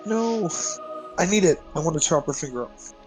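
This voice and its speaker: A man's voice: on the high side, lively intonation, normal speed, faint music behind, rough recording. A man playing a tabletop roleplay game, talking casually in character.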